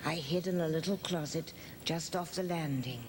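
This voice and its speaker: A woman's voice: low voice, moderate pace, natural voice. A woman playing a curious young daughter who narrates a scripted storybook ghost tale. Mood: storytelling. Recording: loud background noise.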